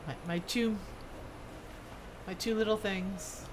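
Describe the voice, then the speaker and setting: A woman's voice: medium pitch, steady tone, takes it slowly, noisy background. A woman doing casual live gameplay commentary, musing half to herself. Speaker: game streamer.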